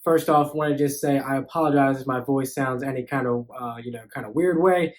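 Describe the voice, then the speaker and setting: A man's voice: medium pitch, fairly quick. A young man with a congested, stuffy-nosed voice chats loosely to the camera from a room lined with shoe boxes. He sits in front of the camera and rambles through a sneaker review, leaning on fillers as he goes. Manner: relaxed, many fillers and "you know"s. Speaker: sneaker YouTuber. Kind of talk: casual unboxing review.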